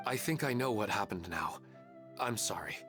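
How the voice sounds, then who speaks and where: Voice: medium pitch, expressive, normal speed. An actor voicing a shy, hesitant young man in a video game, speaking apologetically. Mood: apologetic. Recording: game audio with loud background music.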